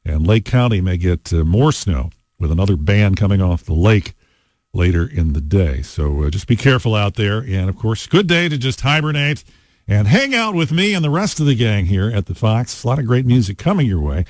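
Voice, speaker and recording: A man hosting a rock radio show, talking in a relaxed, chatty way with frequent fillers between songs. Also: fairly low pitch, normal speed, no echo, studio quality. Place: radio studio. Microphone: studio microphone.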